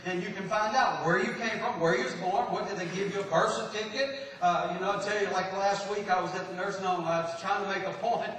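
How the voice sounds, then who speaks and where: Texan man, pastor, high voice, folksy sermon with stumbling restarts, heard from afar in a booming church hall. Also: steady tone, quick pace, hardly any pauses. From Texas, USA. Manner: folksy, repeats and restarts words, loud-soft swings. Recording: echoey hall from far off, faint noise.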